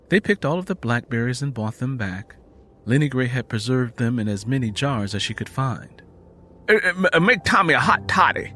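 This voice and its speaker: A man's voice: mid-range voice, a little hiss or hum. A man narrating a dramatic story from a script as an audiobook reader.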